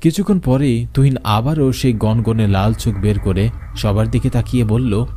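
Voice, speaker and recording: A man reads a scripted horror tale as narrator. His voice is mid-pitched and mellow, on the dark side, with faint ambient noise behind it. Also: moderate pace.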